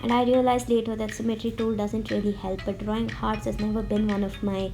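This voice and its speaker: A woman with a slightly sick-sounding voice, explaining a drawing tutorial step by step in a friendly, relaxed way. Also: medium pitch, fairly quick. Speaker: art tutorial host. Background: faint background music.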